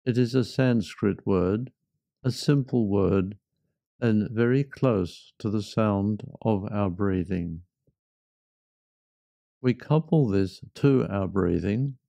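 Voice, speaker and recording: A man leading a guided meditation in a measured, reflective manner with frequent pauses. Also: fairly low pitch, creaky, dark tone, dry recording, clean studio sound.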